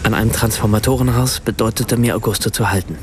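A man playing a writer who narrates a radio play in a measured, precise way. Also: lowish voice, steady tone, slight background noise, close to an everyday voice. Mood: reflective, measured. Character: German writer narrating a remembered family evening in Rome.

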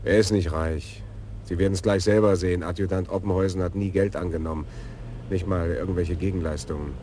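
Actor voicing a blunt detective in a radio play, very deep voice, matter-of-fact, faint hiss. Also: male voice, fairly even intonation, a little fast, warm tone, close to an everyday voice.

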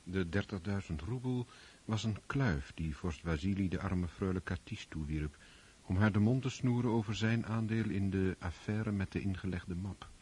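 A man narrating a radio drama in a scripted storytelling style, with a husky voice. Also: on the low side, darkish tone.

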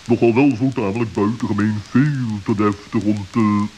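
A man's voice: on the low side, steady tone, normal speed, a little mellow, close to an everyday voice. A man voicing a dignified, pompous owl who hems and hesitates in a children's radio play. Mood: hesitant, dignified. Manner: pompous, hesitant, hemming and throat-clearing. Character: dignified, pompous old male owl with a playful streak. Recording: hissy old radio recording.

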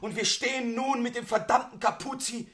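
A man's voice: very high-pitched, fairly even intonation, moderate pace, fairly bright tone, soft background music, voice pushed up. A man voicing a despairing young lover in a radio story, lamenting theatrically. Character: despairing young man, a lovesick dramatic plotter.

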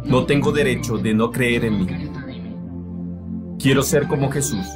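A man's voice: mid-range voice, measured pace, over loud music. A man preaching a sermon in an earnest, emphatic way, voicing it as a translation.

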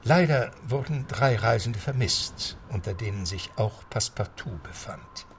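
A man giving steady, scripted storytelling narration for a radio drama. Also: lowish voice, unhurried, vocal fry, slight background noise.

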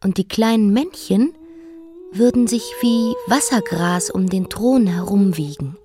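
A woman's voice: mid-range voice, animated, a little slow, close to an everyday voice. An actress playing a defiant young queen who was raised as a boy, narrating her life in a radio play monologue. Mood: hushed, musing.

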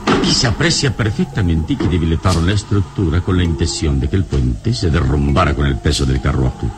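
An actor playing a heroic, resolute adventurer in a radio serial, speaking in a solemn, theatrical, deliberate way. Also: male voice, very deep voice, animated, over loud music. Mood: grave, deducing. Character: heroic male adventurer, calm, noble, resolute investigator. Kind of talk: Spanish-language radio serial acting.

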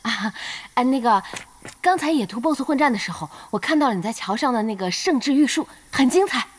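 An actress playing a young female team boss in an audio drama, curious, playful and easily flustered, with expressive hesitations. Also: on the high side, a little fast. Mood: awkward, flustered. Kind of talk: Chinese audio drama acting.

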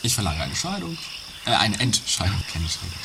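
A man's voice: fairly low pitch, sing-song, brisk, vocal fry, heavy background noise. A man playing an indignant, pleading, melodramatic husband with hesitant repetitions and theatrical outbursts, in an improvised comedy radio play.

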